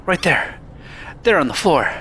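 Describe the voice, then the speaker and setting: A man's voice: a little high, very animated, measured pace. A man voicing a panicky male train steward who stammers in fright. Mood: panicked. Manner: stammering, frantic. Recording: loud background noise.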